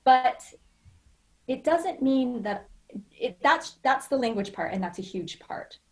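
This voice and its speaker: A woman's voice: sits high, expressive, slow, a little mellow. A middle-aged American woman from rural Idaho, talking earnestly and reflectively in a personal, anecdotal way as a podcast guest. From rural Idaho, United States.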